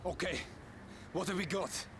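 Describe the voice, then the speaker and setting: An actor playing an impatient, hard-bitten Soviet soldier in a war game. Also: male voice, high voice, measured pace. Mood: wary. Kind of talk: war game combat dialogue. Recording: loud battle and engine noise.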